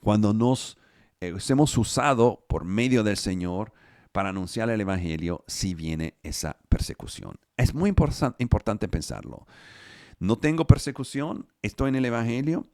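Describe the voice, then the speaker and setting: A man preaching in Spanish, which is not his first language, over a video call, reading scripture slowly and often searching for his words. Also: on the low side, lively intonation, a little mellow, no echo, studio quality. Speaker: pastor.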